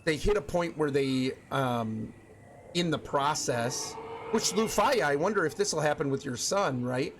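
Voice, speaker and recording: Chatty young man streamer, slightly high voice. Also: animated, unhurried, slight background noise. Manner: chatty, thinks aloud with many restarts and fillers. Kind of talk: casual chat with stream chat.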